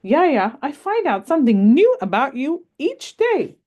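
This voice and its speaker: A woman voicing a curious, excited six-year-old girl in a read-aloud story, asking question after question. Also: measured pace. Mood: eager. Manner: high-pitched, eager questioning.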